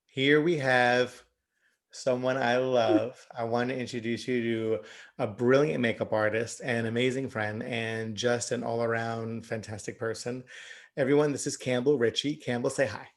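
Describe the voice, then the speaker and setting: A man hosting a casual interview, effusive and enthusiastic, quick to praise his guest. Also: mid-range voice, fairly even intonation. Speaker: host.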